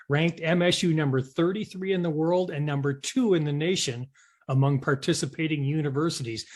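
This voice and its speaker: A man hosting an interview show, reading scripted introductions and questions. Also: medium pitch.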